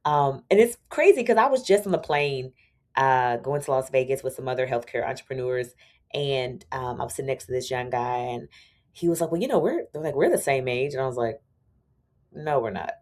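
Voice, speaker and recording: A woman in her forties with a notably low voice speaks as a podcast guest. She tells her stories casually, acting out what people said, and laughs often. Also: a little fast. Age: 40s. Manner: animated storytelling, acts out quoted dialogue. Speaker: podcast guest, attorney turned entrepreneur. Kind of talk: casual interview chat.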